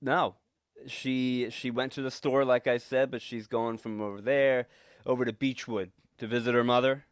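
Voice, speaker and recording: A man plays a shifty husband in an old-time radio thriller. His mid-pitched voice is dry and close. He stammers and repeats himself, evasive and nervous, with menace underneath. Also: fairly even intonation, normal speed, clear, resonant tone, no room sound, natural voice. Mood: nervous, secretive. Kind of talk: 1940s radio suspense acting.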